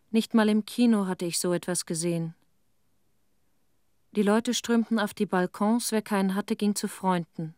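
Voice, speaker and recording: A woman's voice: mid-range voice, a little mellow, dry recording, clean studio sound, close to an everyday voice. An actress playing a sorrowful mother who bears witness to a disaster in plain, reflective short phrases in a radio play.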